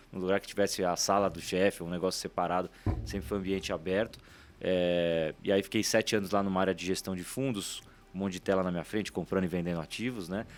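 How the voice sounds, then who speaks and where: A Paulistano man in his forties talks quickly and easily as an interview guest. His voice sits on the low side, and he keeps the talk moving with little tag questions. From São Paulo, Brazil. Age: 40s. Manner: quick, chatty, leans on "né" tags. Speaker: guest, startup CEO. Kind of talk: casual interview storytelling.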